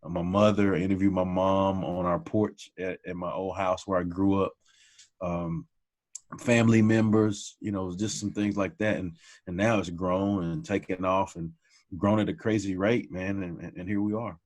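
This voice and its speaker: A man's voice: low voice, fairly even intonation, normal speed, a little mellow. A man in his forties, a teacher and podcast guest, talking in casual conversation, humble and friendly, calling people "man" often. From Texas.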